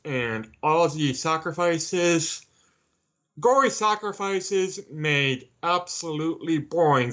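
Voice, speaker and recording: A man answering as an interview guest, measured and wry in his manner. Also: fairly high pitch, a bit bright, highs cut off. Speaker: author, podcast guest.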